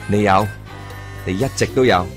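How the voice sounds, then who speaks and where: A man's voice: fairly low pitch, normal speed, loud music behind the voice. An actor playing an earnest young man who lectures his friend and now works managing platform crowds. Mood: lecturing. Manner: preachy, lecturing. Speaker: radio drama actor.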